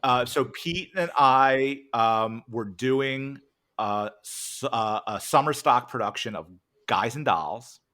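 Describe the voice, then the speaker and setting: An American man talking casually in an interview, telling things in a halting way as he searches for words. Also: medium pitch, bright, forceful tone. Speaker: interviewer and interviewee, podcast cast member. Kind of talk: casual anecdotal interview chat.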